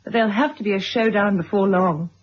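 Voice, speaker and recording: An actress playing a gentle, solicitous middle-aged mother and wife in a radio drama, speaking considerately. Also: mid-range voice, brisk, lightly acted. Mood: confiding. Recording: dull old radio recording.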